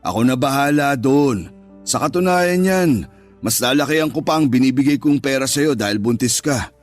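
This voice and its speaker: A male actor plays a wealthy Filipino businessman in a radio drama, a jealous and possessive man with a medium-pitched voice. He speaks in a controlling way that can promise care or threaten. Music plays faintly behind him. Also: moderate pace, natural voice. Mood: indulgent. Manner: controlling, alternately reassuring and threatening. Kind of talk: Tagalog radio drama acting.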